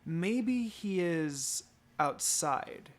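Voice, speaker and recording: A man's voice: high-pitched, expressive, drawn-out words, faint music behind, exaggerated voice. A man voicing an eager, friendly little boy in a fan fiction reading.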